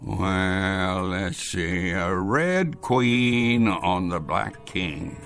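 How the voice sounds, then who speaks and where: A man's voice: low voice, extremely slow, a bit bright, faint music behind, full character voice. An actor playing an anxious, stubborn old grandfather in a radio drama, with a fussy, stammering, insistent way of talking. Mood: stubborn, defiant. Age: older.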